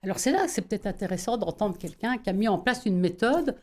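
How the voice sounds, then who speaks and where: A woman's voice: mid-range voice, expressive, fast talker. A woman co-hosting a radio interview, chatting in long rambling asides. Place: radio studio.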